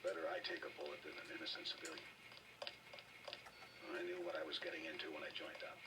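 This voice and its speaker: A deep-voiced wounded soldier in a video game. He is selfless and dutiful, speaking in a subdued way through noisy audio. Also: little pitch movement, fast, far from the mic. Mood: stoic, subdued.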